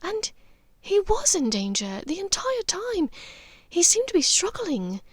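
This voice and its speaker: A woman voicing a haunted, gently resolute witch mother in an audiobook, speaking earnestly and haltingly with care. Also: very high voice, wildly swooping pitch, no echo, clean studio sound, voice pushed up, exaggerated voice. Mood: troubled, intense. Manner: halting, vehement, careful. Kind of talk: audiobook character dialogue.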